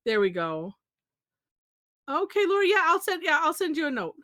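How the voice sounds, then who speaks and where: High chatty woman hosting online class. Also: very animated, normal speed, sharp tone, no room sound, clean studio sound. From Michigan, USA. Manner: chatty, scattered, exclamatory. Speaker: drawing class host. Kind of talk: casual online class chat. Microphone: video call.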